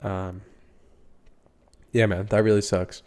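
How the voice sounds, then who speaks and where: A man's voice: fairly low pitch, level tone, slow, a little mellow. Congested young man rambling about football. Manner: rambling, filler-heavy, swears casually. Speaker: podcast host. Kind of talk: casual solo sports talk.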